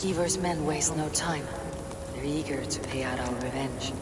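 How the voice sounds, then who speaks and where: An actor playing a resolute, blunt Viking raider commander in a video game, terse and commanding. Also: male voice, a little high, normal speed, close to an everyday voice. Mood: measured. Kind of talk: video game dramatic acting. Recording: loud noise behind the voice.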